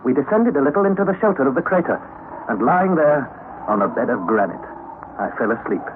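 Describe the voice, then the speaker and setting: A man's voice: mid-range voice, very animated, brisk, natural voice. An actor playing an anxious young male nephew who narrates an old radio drama in earnest first person. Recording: tinny lo-fi old radio recording with faint hiss.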